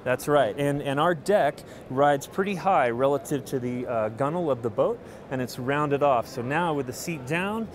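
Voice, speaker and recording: A man presents a product in an informal, explanatory way, pausing now and then to find the word. His pitch is average. Clear trade-show noise surrounds him in a big busy hall. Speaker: kayak company representative presenting a product. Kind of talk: casual product walkthrough interview. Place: large indoor convention hall booth. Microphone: handheld interview microphone held by interviewer.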